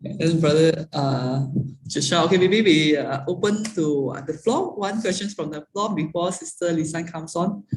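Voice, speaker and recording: A man moderating an online meeting, polite and halting, with many fillers. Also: on the high side, roomy sound, distant mic, slight background noise, rough recording.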